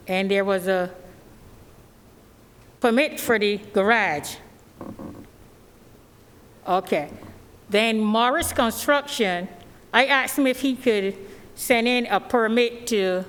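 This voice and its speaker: A woman from Barbados, speaking plainly and at length as a resident at a public hearing. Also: medium pitch. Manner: rambling, plainspoken, nonstandard grammar. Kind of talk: informal testimony at a public meeting. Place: council meeting chamber. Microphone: podium microphone. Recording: reverberant meeting hall.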